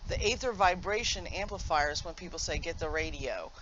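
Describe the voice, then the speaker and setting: A man's voice: fairly high pitch, fairly quick, lo-fi sound, background noise. A man giving a casual, unscripted game tutorial in an informal, explanatory way, correcting himself as he goes. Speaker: gamer presenting a demonstration. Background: video game music.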